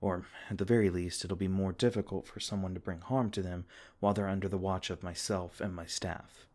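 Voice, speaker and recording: A man playing a gentle, protective male fae king in a comforting ASMR roleplay, soft-spoken and reassuring. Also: low voice, steady tone, brisk.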